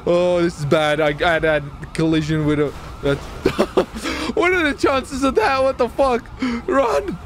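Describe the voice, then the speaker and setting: A man's voice: high-pitched, unhurried, few pauses, a little hiss or hum. A man chattering live through a roleplay game on voice chat, exclaiming and swearing as he reacts aloud to the action. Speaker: gamer, channel host.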